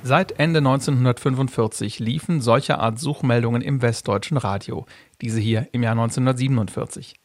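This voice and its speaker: A man's voice: medium pitch, brisk, a little mellow, no echo. A man reading scripted narration as a reporter in a radio feature.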